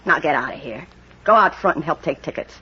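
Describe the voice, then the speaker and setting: An actress playing a jealous, scornful crime boss and wife in an old-time radio drama, blunt, bossy and sarcastic with a husky voice. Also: female voice, deep voice, animated, fairly quick, background noise. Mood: scornful anger. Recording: dull old radio recording.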